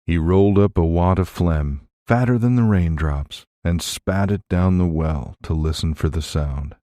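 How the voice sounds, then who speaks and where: Deep, mellow male audiobook narrator. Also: measured pace, dry recording, studio quality. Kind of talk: scripted fiction narration.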